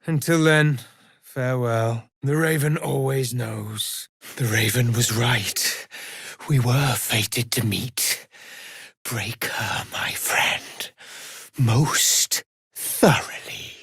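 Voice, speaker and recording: An actor playing a mysterious, brooding male avenger in a video game, speaking in grave, measured, formal phrases with a gravelly, hushed edge. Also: medium pitch, no room sound, studio quality, full character voice. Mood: solemn, musing. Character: mysterious, brooding male avenger who hunts and torments murderers. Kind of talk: dramatic video game voice acting.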